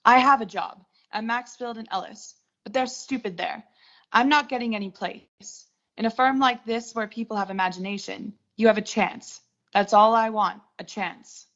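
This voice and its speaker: A woman's voice: a little high, moderate pace, a bit bright. A teenage girl playing an ambitious, defiant young woman who narrates her story in a 1940s suspense radio play. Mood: defiant, bitter. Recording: muffled home voice track, music behind.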